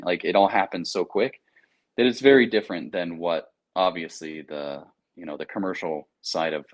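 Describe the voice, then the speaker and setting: An American man talking casually in an interview, explaining things in an informal, anecdotal, slangy way. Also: deep voice. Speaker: air traffic controller, interview guest.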